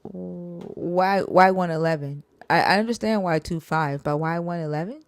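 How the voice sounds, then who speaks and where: A woman hosting a live stream Q&A, talking in a halting way with many fillers and restarts. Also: lowish voice.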